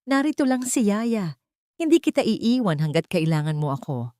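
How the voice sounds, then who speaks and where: A woman's voice: medium pitch, sing-song, normal speed, mellow, dry recording, clean studio sound, pitched above the performer's own voice, natural voice. A woman with a Filipino accent voicing a caring, anxious but steadfast young nanny in an audio story. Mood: soothing resolve.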